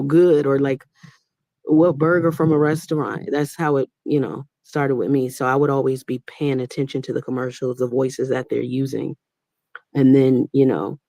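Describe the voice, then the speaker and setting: A woman's voice: a bass voice, mellow, no echo. A woman working as a Reiki master and voice actor, talking casually with encouraging spiritual advice and often repeating words as she speaks. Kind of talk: casual conversation on a live stream.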